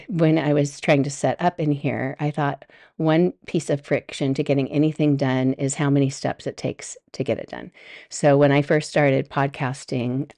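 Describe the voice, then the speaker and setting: A middle-aged woman with a deep voice, hosting a casual solo podcast from a room at home, chatty and rambling with frequent laughs. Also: gravelly, warm tone, dry recording. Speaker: podcast host.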